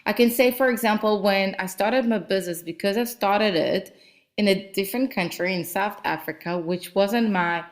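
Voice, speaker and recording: A woman's voice: medium pitch, moderate pace. A woman whose first language is not English, hosting a solo motivational talk and chatting casually with many fillers. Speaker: podcast host and business coach. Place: lives in Cape Town.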